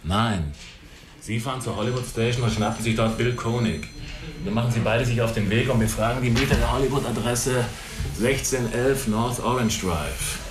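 An actor playing a curt police lieutenant giving orders in a radio drama. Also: male voice, medium pitch, normal speed, echoey room, close to an everyday voice. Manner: curt, commanding.

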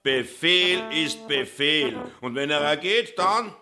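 Slow-talking callous village crony. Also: male voice, on the high side, animated, music in the background. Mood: complacent. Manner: sententious, echoing. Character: bearded village crony, callous, agreeable conformist. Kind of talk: German-language radio drama acting.

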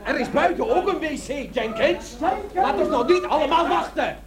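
Officious, very high-voiced boss. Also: male voice, lively intonation, normal speed. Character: officious, penny-pinching male boss in charge of premises. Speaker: actor in a radio drama. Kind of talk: comic radio play acting. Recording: radio drama with busy crowd behind.

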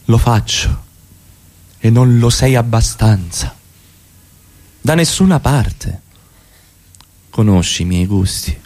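A man's voice: mid-range voice, a little mellow. A man acting in radio theatre as a blunt, teasing middle-class husband, with an emphatic, insistent delivery. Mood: scornful, exasperated.

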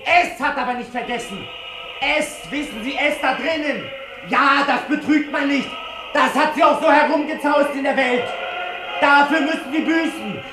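A man's voice: very high voice, moderate pace, reverberant, over music, low-quality recording. A man playing an insistent, accusing young man in a 1960s radio drama, with urgent, needling delivery.

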